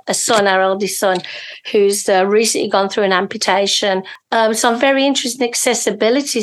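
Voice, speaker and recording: Chatty, slightly hoarse female podcast host. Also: mid-range voice. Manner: enthusiastic, chatty, many fillers. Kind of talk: casual interview.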